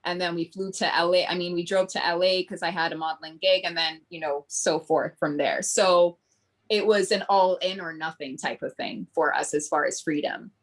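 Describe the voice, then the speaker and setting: Podcast guest: low-pitched woman with a Barbadian accent, earnest and reflective. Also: steady tone, normal speed. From Grenada. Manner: flowing, earnest, reflective with many ums. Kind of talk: casual interview talk.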